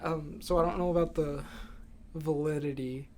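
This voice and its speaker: A man's voice: on the high side, steady tone, normal speed, very noisy. A young American man co-hosting a podcast in a casual, conversational style. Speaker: podcast co-host. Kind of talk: casual chat.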